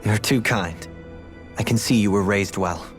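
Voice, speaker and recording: An actor playing a formal, solemn young former lord in a video game, speaking in a measured, courteous way. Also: male voice, fairly low pitch, natural voice. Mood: warm, grateful. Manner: formal, measured, tense when asking favors. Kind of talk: dramatic video game cutscene acting. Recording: music playing behind the voice.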